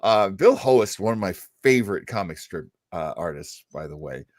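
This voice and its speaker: A man's voice: low-pitched, lively intonation, normal speed, no room sound, clean studio sound. A man co-hosting a radio show, chatting casually and hesitantly, with playful self-deprecation as he introduces old-time radio.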